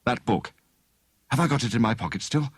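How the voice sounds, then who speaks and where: A man voicing a genial, encouraging young gentleman amateur detective in an audiobook story, speaking in a breezy conversational way. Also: mid-range voice, lively intonation, a little fast, breathy edge, no echo. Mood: keen.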